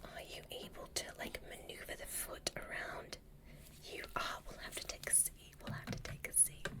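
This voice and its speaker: A woman voicing a calm, curious alien doctor in an ASMR roleplay, speaking in a gentle, breathy whisper with an unhurried, formal manner. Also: close to an everyday voice. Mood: curious, clinical. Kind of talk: ASMR medical exam roleplay.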